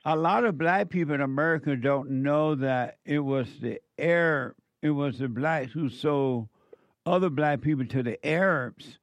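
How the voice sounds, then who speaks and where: A man's voice: a little high, unhurried, no room sound, dull audio. A man hosting a call-in talk radio show, talking in a brash, joking way and laughing often.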